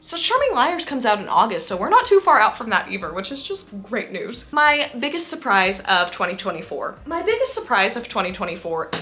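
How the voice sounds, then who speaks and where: A woman talking casually to viewers as a book vlogger, with a gushing, excitable and emphatic manner. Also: fairly high pitch, a little fast, hardly any pauses.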